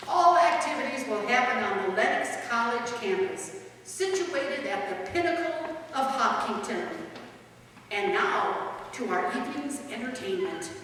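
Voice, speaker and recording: A woman's voice: a little high, fairly bright tone. A woman with an American accent playing a formal small-town announcer of the past, reading a scripted period bulletin. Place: large echoing hall, stage rehearsal. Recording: echoey hall, distant mic, faint noise.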